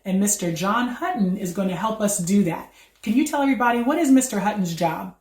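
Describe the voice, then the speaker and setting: A woman's voice: mid-range voice, animated, a little fast, a little mellow. A woman hosting a children's activity, quizzing a child and encouragingly confirming each answer. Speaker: host of a children's read-aloud. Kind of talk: educational chat, quizzing a child. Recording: echoey room.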